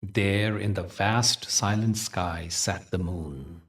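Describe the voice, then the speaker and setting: Deep-voiced man guiding a meditation, slightly distant. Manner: gentle, measured, soothing delivery with deliberate pauses. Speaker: meditation teacher and guide. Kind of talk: guided meditation with spiritual storytelling.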